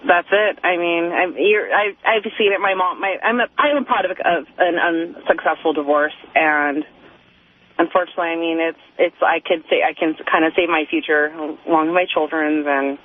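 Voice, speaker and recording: A young woman calling a radio show, talking casually and haltingly about personal matters. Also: on the low side, fairly even intonation. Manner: hesitant, halting. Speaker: call-in radio caller. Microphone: telephone. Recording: tinny phone line on radio.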